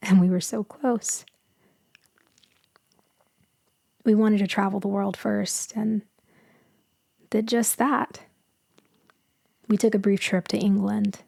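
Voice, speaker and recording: A woman playing a gentle, melancholy immortal female vampire in a sleep ASMR roleplay, speaking softly and intimately, often slipping into a whisper. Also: mid-range voice, fairly even intonation, dry recording, clean studio sound. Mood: nostalgic. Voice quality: soft, breathy, often whispering. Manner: slow, hushed, wistful, intimate.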